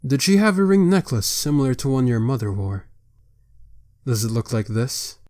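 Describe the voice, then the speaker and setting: A man's voice: medium pitch, very animated, moderate pace, darkish tone, lightly acted. A man voicing a stern vampire patriarch. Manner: commanding, formal, probing. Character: stern old vampire father leading a council. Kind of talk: amateur roleplay voice acting.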